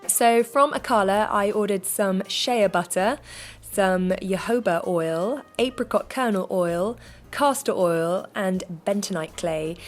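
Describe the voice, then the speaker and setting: A woman with a slightly croaky voice, chatting cheerfully and self-deprecatingly through a how-to vlog. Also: mid-range voice. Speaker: vlogger.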